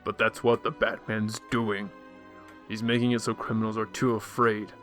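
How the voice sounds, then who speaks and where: A man's voice: on the low side, level tone, normal speed, a bit bright, soft background music, close to an everyday voice. A man playing a rich playboy who is secretly a brooding vigilante in a radio drama. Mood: frustrated, defensive.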